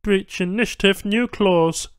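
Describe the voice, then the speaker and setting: A matter-of-fact alien technician, acted out by a male reader, pitched well up from a normal male register and very cleanly voiced. The phrases come out terse, stilted and broken, each one stated emphatically, as in a science fiction audiobook with a dry, close sound. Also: a little slow, clear, resonant tone, no room sound. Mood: flat, insistent. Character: matter-of-fact alien technician speaking halting, clipped foreign syntax.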